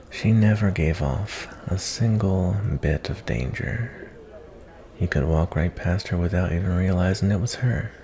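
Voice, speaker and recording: A man playing a gentle, reflective cowboy bounty hunter in a softly spoken fantasy ASMR roleplay, talking in a folksy, kindly, rambling way. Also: exaggerated voice. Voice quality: low, gravelly.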